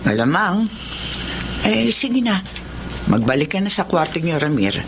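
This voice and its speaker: An actress with a Filipino accent playing a guarded, stern middle-aged housekeeper in a radio horror drama, secretive and admonishing. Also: female voice, lowish voice, big pitch swings, measured pace, background noise. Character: Aling Leoncia, guarded, stern older female housekeeper.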